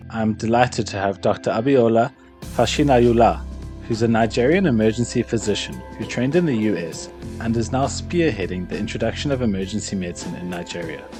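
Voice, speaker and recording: A man hosting a podcast, giving a measured, formal introduction and then asking interview questions. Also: on the low side. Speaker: podcast host. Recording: intro music behind the voice. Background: music.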